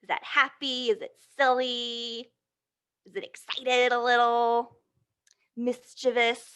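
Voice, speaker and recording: A woman leads a picture-book storytime for small children in a fairly high, very bright voice. She is animated and encouraging. She keeps posing simple questions to her young listeners and answers many of them herself. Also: slow pace, clear, resonant tone.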